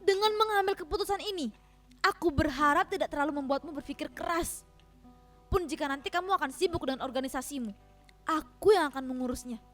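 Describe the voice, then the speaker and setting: A high-voiced farming wife, acted by a woman in a staged dramatic reading. She is earnest and frustrated, delivering her lines with measured theatrical emphasis. Music plays faintly behind her. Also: close to an everyday voice. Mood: pleading.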